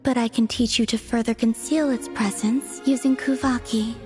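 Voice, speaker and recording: A woman playing a serene, aloof young moon maiden in a video game, speaking softly in an unhurried, detached way. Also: a little high, steady tone, lightly acted. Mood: serene, instructive. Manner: unhurried, detached, cryptic, understated. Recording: music behind the voice.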